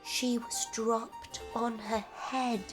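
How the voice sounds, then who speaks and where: An actress playing a petulant, spoiled heiress in an audio drama, sweetly condescending. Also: female voice, a little high, little pitch movement, very slow, music in the background. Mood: catty.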